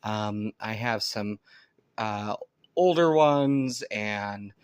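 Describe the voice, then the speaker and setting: A man's voice: fairly low pitch, drawn-out words, clear, resonant tone, no room sound, clean studio sound. A man talking casually and unscripted to viewers as a hobbyist vlogger, in a hesitant, rambling way.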